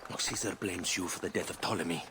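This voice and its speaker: An actor playing a conciliatory Egyptian political go-between in a video game, speaking in a measured, pleading, cautious way. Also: male voice, low voice, noisy background, close to an everyday voice. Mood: anxious, pleading. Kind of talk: dramatic video game acting. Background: background music.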